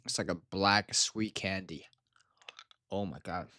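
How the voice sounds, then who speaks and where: Tired young man, slow. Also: deep voice, bright, forceful tone. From Taiwan. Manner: slangy, swearing, calls viewers bro. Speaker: livestreamer. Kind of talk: casual livestream chat.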